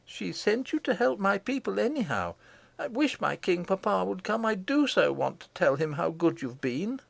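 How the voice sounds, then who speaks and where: A man's voice: high-pitched, big pitch swings, normal speed, gravelly, no room sound, pitched above the performer's own voice, exaggerated voice. A man voicing an earnest, affectionate young girl princess with eager, childlike exclamations in an audiobook. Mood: wishful.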